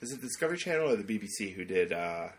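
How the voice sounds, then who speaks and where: A man's voice: on the low side, sing-song, racing, slight background noise. A man hosting a podcast, chatting in a casual, comic and rambling way. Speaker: podcast host.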